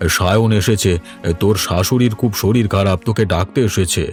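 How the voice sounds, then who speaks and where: A man's voice: on the low side, normal speed, faint music behind, close to an everyday voice. A man voicing a worried, mild village family man in a cartoon drama. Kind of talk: cartoon voice acting.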